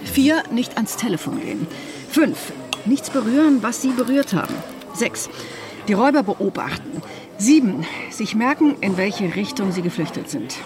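A woman playing a shrewd, educated ex-bank robber turned consultant in a radio drama, explaining things in a matter-of-fact, instructive way. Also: medium pitch. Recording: loud music bed underneath.

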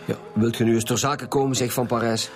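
A man's voice: medium pitch, flat delivery, fairly quick, faint music behind, close to an everyday voice. A man playing a tough, sardonic police commissioner interrogating a suspect in a radio drama, blunt and impatient, with a Flemish accent. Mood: pressing, impatient. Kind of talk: naturalistic radio drama dialogue.

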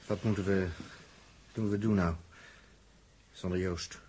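A man's voice: low-pitched, fairly even intonation, a little slow, darkish tone. An actor playing a blunt, agitated spaceship crewman in 1960s radio drama, stammering and repeating words. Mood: anxious. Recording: noisy old radio recording.

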